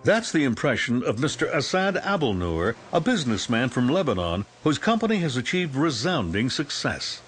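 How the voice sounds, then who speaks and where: A man's voice: medium pitch, fairly bright tone, a little hiss or hum. A man reading polished, upbeat promotional narration. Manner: polished, upbeat announcer delivery. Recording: voice-over over music.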